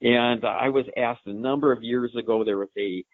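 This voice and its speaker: A man's voice: medium pitch, steady tone, normal speed, no echo. A man, a horse science expert, answering listener questions in an explanatory way and sometimes correcting himself mid-sentence. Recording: dry tinny phone line.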